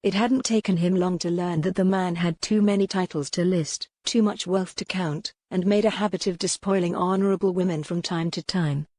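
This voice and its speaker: A woman's voice: lowish voice, steady tone, moderate pace, few pauses, darkish tone, no echo, clean studio sound. A woman reading scripted, dramatic narration from a historical romance novel as an audiobook narrator.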